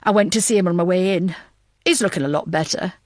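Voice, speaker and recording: A woman's voice: lowish voice, fast talker, a little mellow, no echo, clean studio sound, natural voice. A woman voicing a tired, loyal male police detective with a matter-of-fact manner in an audiobook. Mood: tired, reassuring.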